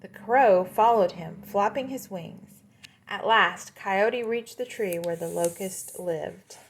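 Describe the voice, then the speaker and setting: A woman's voice: medium pitch, slow pace, a little hiss or hum, poor audio. A woman storyteller reading a children's story aloud, with explanatory asides to young listeners.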